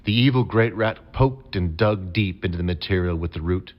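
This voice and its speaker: Very deep-voiced male storybook narrator. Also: moderate pace, dull audio. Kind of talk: scripted storybook narration for an audio adventure game.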